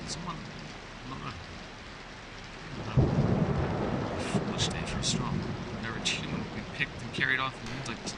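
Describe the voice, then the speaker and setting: A man's voice: on the low side, very noisy, natural voice. A man voicing a mysterious, playful elf scholar in a fantasy ASMR roleplay, speaking in genteel, theatrical, formal phrases. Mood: musing. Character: mysterious, courteous, playful male elf scholar living alone. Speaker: voice actor. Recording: rain, thunder and storm ambience behind. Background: rain and thunder sound effects.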